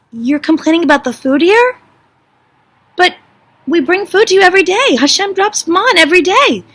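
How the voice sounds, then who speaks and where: An overwhelmed leader of a grumbling people, acted out by a woman in a high, sharp-edged voice. The delivery is an incredulous, emphatic rant, fed up and exasperated, as in a Bible story told aloud. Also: gravelly, slightly rough, full character voice.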